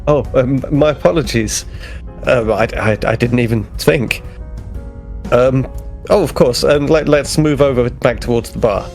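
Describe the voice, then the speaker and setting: A man's voice: mid-range voice, a little slow, gravelly, a little mellow, soft background music. A man playing a naive, cheerful young starship ensign in a tabletop game, friendly, polite and a little hesitant. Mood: apologetic.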